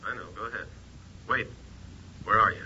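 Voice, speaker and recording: An actor playing a terse, businesslike government handler at the quiet end of a phone call in 1950s radio drama. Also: male voice, fairly low pitch, normal speed, breathy edge, exaggerated voice. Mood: terse, alert. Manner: terse, matter-of-fact. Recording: noisy, dull old radio recording.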